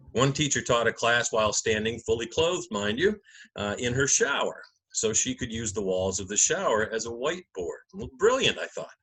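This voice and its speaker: A man's voice: mid-range voice, fairly bright tone, husky, rough recording. A man speaking as a pastor, delivering a church message and scripture narration. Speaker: clergyman and narrator of a gospel reading.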